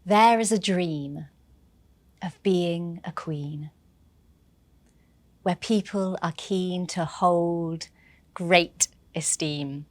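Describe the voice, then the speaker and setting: A woman's voice: fairly low pitch, no echo. A woman who grew up between England and Trinidad, performing rhyming spoken-word poetry in a playful, rhythmic way.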